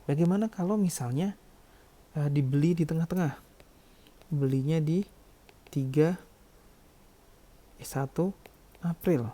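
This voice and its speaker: A man's voice: on the high side, mellow. A man explaining worked calculations step by step as a lecturer, often adding small check-in words. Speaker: accounting lecturer.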